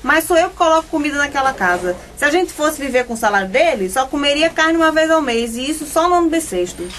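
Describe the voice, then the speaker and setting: An actress in a comic radio soap, playing a hot-tempered, aggrieved wife who complains dramatically. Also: female voice, sits high, animated, fast talker, fairly bright tone, a little hiss or hum.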